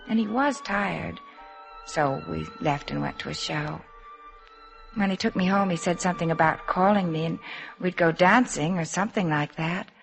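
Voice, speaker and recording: Wistful young wife, low and reflective, hesitant delivery, 1950s radio drama, faint music underneath. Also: female voice, creaky. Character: wistful young married woman recalling a lost summer love.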